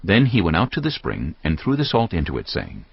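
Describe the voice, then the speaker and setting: A man narrating scripture as a scripted drama, solemn and measured. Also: deep voice, huge pitch swings, creaky, husky, no echo. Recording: dull lo-fi sound, music underneath. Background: faint music.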